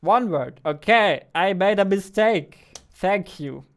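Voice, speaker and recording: A man in his twenties gives casual game development commentary as a non-native English speaker. His voice is smooth and a little high, and he takes his time as he thinks aloud. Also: animated, slow, a bit bright. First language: not English. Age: 20s. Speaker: indie game developer, streamer. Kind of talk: casual live coding commentary. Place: small indoor room at a computer.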